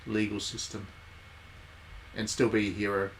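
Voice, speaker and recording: A man's voice: lowish voice, monotone, normal speed, poor audio. A man giving casual, chatty live commentary as he streams a game, with wry asides. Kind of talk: casual live playthrough commentary, reading game text aloud.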